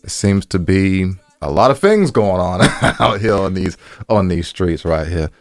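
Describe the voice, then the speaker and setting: Low-voiced man, slangy podcast chat. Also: lively intonation, a little slow, no echo. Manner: informal, slangy, repeats phrases for emphasis. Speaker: podcast co-host.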